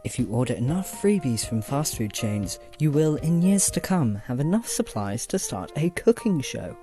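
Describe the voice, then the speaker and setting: A man reads scripted show credits. His voice is mellow and middle-pitched. Soft guitar music plays faintly behind him. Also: very animated, normal speed. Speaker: credits reader. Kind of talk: scripted announcement.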